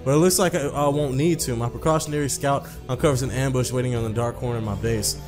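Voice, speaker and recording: A man's voice: mid-range voice, fast. Casual young man reading over music. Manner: informal, profane. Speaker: gaming video host. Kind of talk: reading a visual novel aloud with casual commentary.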